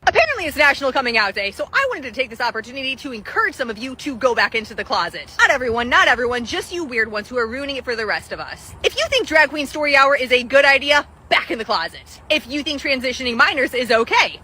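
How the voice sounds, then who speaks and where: Fast, high-voiced woman making pointed remarks. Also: expressive, pushed and bright, husky. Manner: pointed, repetitive emphatic punchlines. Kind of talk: scripted comedic opinion piece to camera.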